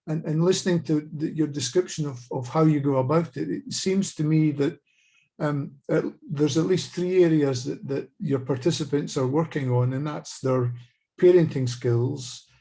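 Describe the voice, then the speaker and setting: Scottish man, middle-aged, thoughtful webinar participant over a video call, warm relaxed timbre. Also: on the high side, vocal fry. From Scotland. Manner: measured, thoughtful, frequent small restarts. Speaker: participant asking a question. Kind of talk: webinar discussion.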